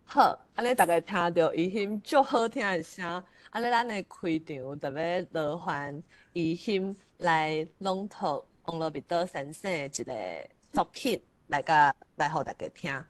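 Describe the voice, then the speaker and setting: A woman with a Taiwanese accent hosts an online literary event. Her voice sits fairly low and comes through dry. She is polite, pausing as she searches for the next phrase. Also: expressive, dry recording. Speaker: host of an online literary forum. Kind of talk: informal event hosting.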